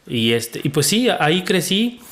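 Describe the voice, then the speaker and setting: A young man with a central Mexican accent talks through his childhood at an easy, unhurried pace. He sounds relaxed and says "este" often as he gathers his thoughts. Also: on the high side, a little hiss or hum. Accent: central Mexican Spanish. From State of Mexico. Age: young adult. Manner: relaxed, uses many fillers like "este". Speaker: podcast guest. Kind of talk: casual interview storytelling.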